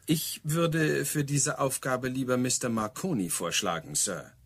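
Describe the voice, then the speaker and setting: Casting: dutiful police sergeant type, husky medium-pitched voice, stiffly polite, dry, pedantic, radio drama. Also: male voice, unhurried, a bit bright. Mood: dry, formal. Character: stiff, deferential, principled male police subordinate. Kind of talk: German radio play dialogue.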